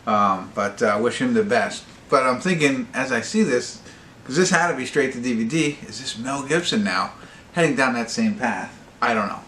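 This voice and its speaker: A man hosting a casual, unscripted movie review, talking in a halting, rambling way and often correcting himself. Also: mid-range voice, animated, fairly bright tone. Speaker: movie review channel host. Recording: echoey room with faint noise.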